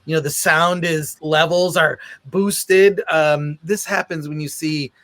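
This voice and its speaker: A man hosting a podcast interview in casual chat, haltingly repeating words as thoughts form. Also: a little high, fairly even intonation, a bit bright.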